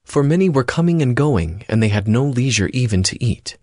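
A man's voice: mid-range voice, expressive, a little fast, darkish tone, dry recording, studio quality. A man narrating scripture from a script as part of a dramatized reading. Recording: music bed behind voice.

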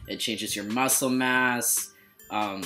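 A man's voice: medium pitch, fairly even intonation, takes it slowly, music in the background. A young man from Canada chatting casually and jokingly on a webcam in a small bedroom, laid-back and mumbling at times. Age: young adult. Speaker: vlogger. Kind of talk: casual chat.

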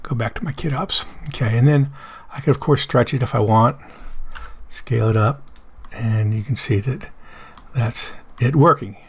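A middle-aged man with a hoarse voice, explaining a software tutorial step by step over his screen recording. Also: mid-range voice, normal speed, pauses often. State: hoarse from medication.

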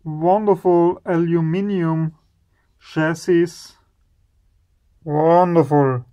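A man hosting an electronics teardown video, slowly and admiringly commenting on the parts he finds. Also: fairly high pitch, fairly even intonation, lo-fi sound. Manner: slow, admiring, savoring each part.

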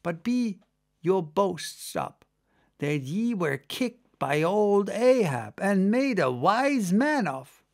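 A man's voice: sits high, sing-song, slow, heavily acted. A man voicing an old hunchbacked merman who mutters, argues and lectures in a storybook reading. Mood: lecturing, persuasive.

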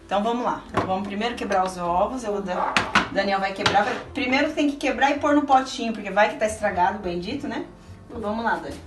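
A woman's voice: medium pitch, quick pace, heavy background noise. A middle-aged woman, chatting patiently through a home cooking demo with a child and explaining steps to viewers. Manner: chatty, patient, coaxing and encouraging. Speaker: cooking show host and aunt. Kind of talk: casual cooking demonstration with a child. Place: small home kitchen, indoors. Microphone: camera held by a helper a few feet away. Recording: roomy kitchen, distant camera, music behind.

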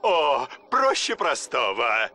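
An actor playing a scheming, arrogant mad scientist villain in a game dub, with a gloating, bossy delivery. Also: male voice, medium pitch, wildly swooping pitch, takes it slowly, pushed and bright, heavily acted.

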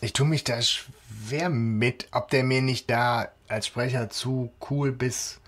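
A man's voice: medium pitch, fairly even intonation, slow pace, fairly bright tone. A man co-hosting a podcast in casual, colloquial chat, feeling his way through loosely built phrases. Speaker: podcast co-host. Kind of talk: casual chat discussing an audio drama.

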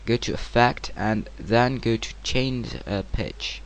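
A man narrating a casual software tutorial in hesitant, informal, non-native-sounding English. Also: medium pitch, slow pace. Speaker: tutorial presenter. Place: home computer screen-recording voice-over. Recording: clear steady background noise.

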